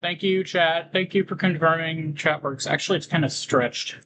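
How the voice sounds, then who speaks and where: A man doing casual livestream commentary on a game, reading on-screen text aloud and halting to break into asides. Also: fairly high pitch, steady tone, sharp tone, a little hiss or hum, poor audio.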